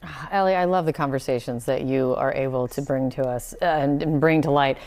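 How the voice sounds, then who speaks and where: A woman's voice: rumbling low, brisk, darkish tone, faint music behind. A woman anchoring a TV news show, questioning a correspondent in a friendly, conversational way. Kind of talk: broadcast news interview.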